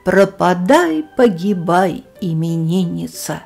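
A woman reading a children's poem aloud in a rhythmic, lively sing-song manner. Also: on the low side, slow, gravelly, soft background music. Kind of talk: expressive children's verse reading.

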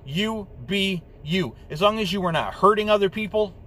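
A man's voice: high voice, normal speed, fairly bright tone. A young man vlogging from inside his car at night, talking heatedly and repeating phrases for emphasis, swearing now and then. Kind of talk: impassioned monologue to camera. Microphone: dashboard camera facing driver.

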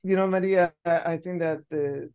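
A middle-aged man from Chile, speaking as a panelist on a video call through a headset, thoughtful and halting as he searches for words. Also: fairly high pitch, fairly even intonation, smooth, highs cut off. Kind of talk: reflective panel discussion.

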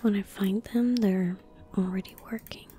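A woman in her twenties giving gentle ASMR game commentary in a soft, breathy whisper, close to a desktop microphone. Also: medium pitch, fairly even intonation. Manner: gentle, unhurried, murmuring. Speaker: streamer playing a video game. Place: small indoor room at a desk. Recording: close-miked with faint background noise. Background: faint game ambience.

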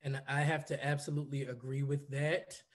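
A man's voice: mid-range voice, level tone, a little fast. A man in his thirties speaking earnestly on a video call panel, building up to punchy points. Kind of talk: panel discussion. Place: room at home.

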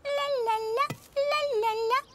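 A woman's voice: very high-pitched, steady tone, slow pace. A woman voicing a sweet but stubborn little girl puppy in a cartoon. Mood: cheerful. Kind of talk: animated cartoon voice acting.

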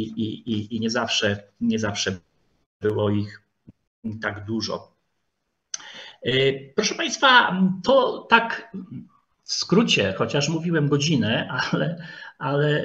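A man giving an informal history lecture, speaking haltingly and repeating words as he goes. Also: mid-range voice, fairly bright tone. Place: online video call presentation with screen share. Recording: dull, lo-fi online call audio.

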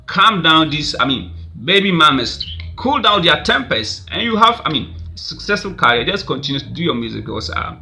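Ghanaian man in his thirties, very bright tense voice, slightly high, webcam vlog, rambling with "I mean" fillers. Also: big pitch swings, moderate pace, creaky. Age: 30s. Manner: rambling, laughs often, many "I mean" fillers. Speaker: vlogger. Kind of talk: casual celebrity gossip commentary. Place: small indoor room. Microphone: webcam at desk.

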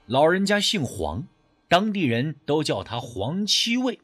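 A man narrating a scripted mystery audiobook in the first person, with dramatic, expressive storytelling. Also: a little high, unhurried, faint music behind.

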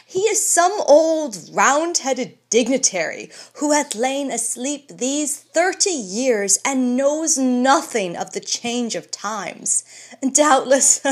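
A man's voice: very high-pitched, pitched above the performer's own voice, heavily acted. A woman voicing a mocking, amused male courtier in an audiobook reading.

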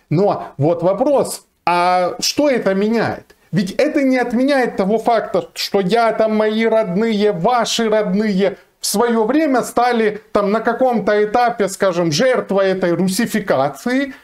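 A man's voice: high voice, unhurried, darkish tone. Earnest man giving emphatic commentary. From Ukraine. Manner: earnest, emphatic, argumentative with frequent fillers. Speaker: lawyer. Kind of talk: to-camera commentary. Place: small indoor room, seated in armchair. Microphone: fixed camera, seated facing it.

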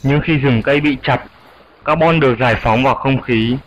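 Casting: old grandfather fruit bat played by a man for a cartoon, medium pitch, patient scripted explainer, slight background noise. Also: fairly bright tone, dull audio, close to an everyday voice. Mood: instructive. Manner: didactic, measured. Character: old grandfather flying fox explaining climate to youngster.

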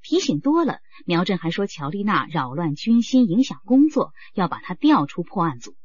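A woman's voice: fairly low pitch, sing-song, normal speed, darkish tone, breathy edge, no room sound, dull audio. A woman reading scripted dramatic narration for a radio drama.

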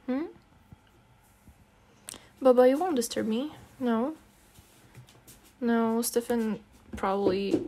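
A woman's voice: on the high side, gravelly, darkish tone. A young non-native English-speaking woman in a casual, intimate girlfriend ASMR roleplay, talking in a slangy, teasing, coaxing way with giggles. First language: not English. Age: young adult.

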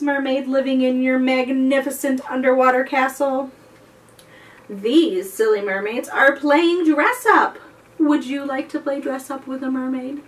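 An American woman leads a children's storytime at an unhurried, very slow pace. She reads expressively with a sing-song lilt. Her voice sounds a little distant from the microphone. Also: high voice. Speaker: children's librarian, storytime host. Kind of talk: children's storytime read-aloud and talk. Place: indoors, before a chalkboard backdrop. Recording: voice slightly distant from the mic.

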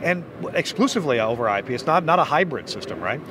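A man's voice: mid-range voice, big pitch swings, fast talker. A middle-aged or older man hosting a trade show interview, speaking into a handheld microphone. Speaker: interviewer and host. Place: trade show booth, indoors. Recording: clear busy trade-show floor noise.

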